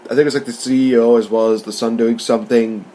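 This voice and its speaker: A man with a mid-pitched voice in relaxed film discussion as a co-host. He speaks colloquially and thinks aloud, wandering through his points. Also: fast talker. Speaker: podcast co-host reviewing films. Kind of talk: casual, conversational film discussion.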